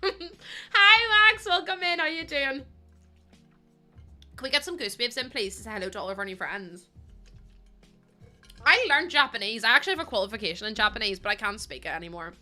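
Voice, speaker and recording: A woman's voice: on the high side, expressive, a little fast, pauses often, soft background music. A woman livestreaming, chatting casually with her viewers and laughing often. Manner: chatty, laughs often, greets chat warmly. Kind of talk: casual chat with stream viewers.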